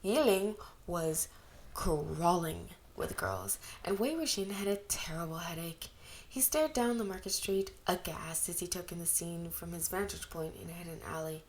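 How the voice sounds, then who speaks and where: A woman's voice: lowish voice, animated, moderate pace. A woman narrating a story as an amateur reader, expressively acting out the dialogue. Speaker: amateur audiobook reader of fan fiction. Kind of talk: scripted story narration with character voices.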